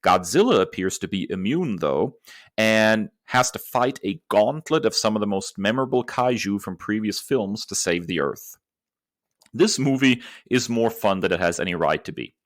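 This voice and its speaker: A man's voice: low-pitched, no room sound, studio quality. A man co-hosting a podcast, giving emphatic, opinionated and enthusiastic movie commentary. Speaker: podcast co-host. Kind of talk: enthusiastic movie review talk.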